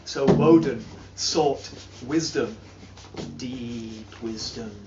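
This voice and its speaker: A man giving a dramatic reading of an old charm in a large hall, declaiming slowly and swelling to loud peaks. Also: mid-range voice, very noisy. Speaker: storyteller. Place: large timber hall, indoors. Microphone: camera filming from across the room. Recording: distant, roomy and dull.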